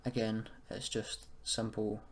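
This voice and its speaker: A man giving an informal software tutorial, thinking aloud in a hesitant, self-deprecating way as he goes. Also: fairly low pitch, level tone, slow pace. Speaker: tutorial presenter. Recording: noisy room with keyboard clicking.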